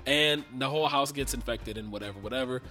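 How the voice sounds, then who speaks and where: A man's voice: mid-range voice, fast talker, bright, forceful tone, soft background music. A man hosting a podcast in a casual, slangy monologue, a little nasal and congested from a cold. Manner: laid-back, slangy, chatty. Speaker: podcast host. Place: home recording booth.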